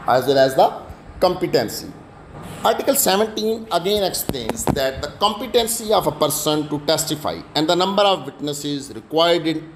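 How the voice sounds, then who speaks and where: A man with a Pakistani accent giving an explanatory law lecture in a halting, repetitive, didactic way. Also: fairly high pitch, slight background noise.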